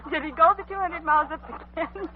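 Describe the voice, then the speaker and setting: A woman's voice: very high voice, normal speed. A woman playing an eager, wide-eyed listener who questions a storyteller on old-time American radio. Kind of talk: 1940s American radio acting. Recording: tinny, noisy vintage radio recording.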